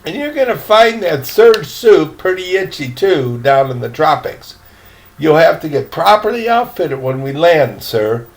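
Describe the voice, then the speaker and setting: A man's voice: on the high side, sing-song, a little slow. An older man voicing a frank, polite, well-off boy in a storybook, with matter-of-fact manners. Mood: amused, helpful.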